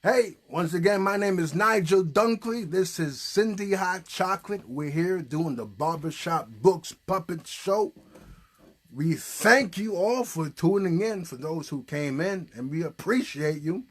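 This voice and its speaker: A man's voice: sits high, lively intonation, measured pace. A man hosting a puppet show, chatting casually and encouragingly and prompting with questions. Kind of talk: casual, unscripted chat.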